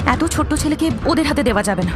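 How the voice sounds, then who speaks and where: A woman's voice: sits high, animated, fast talker. A woman acting an anxious, caring young woman in an audio drama, urgent and insistent. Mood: worried, insistent. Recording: clear music under the voice.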